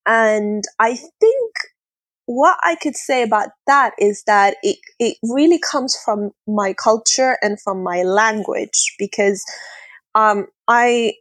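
A woman whose first language is Arabic, speaking earnestly as an interview guest, sometimes pausing to search for words. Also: fairly high pitch, fairly bright tone, no room sound. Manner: earnest, searching for words, laughs between thoughts. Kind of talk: conversational interview.

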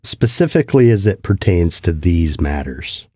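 A man hosting an interview, asking calm, thoughtful questions. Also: sits low, moderate pace, breathy edge, dry recording, clean studio sound. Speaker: podcast host.

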